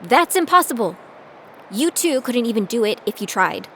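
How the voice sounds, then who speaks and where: A woman's voice: fairly high pitch, sing-song, moderate pace, slight background noise. An actress playing a determined young female bounty hunter in training, narrating her own story in an audio drama. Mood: incredulous, sulky. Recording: loud music bed behind voice.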